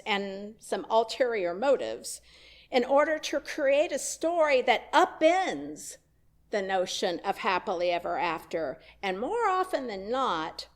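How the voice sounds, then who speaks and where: Lady minister preaching in church, slow and animated, dry little jokes. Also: a little high. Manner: conversational storytelling with wry asides. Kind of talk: sermon. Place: church sanctuary.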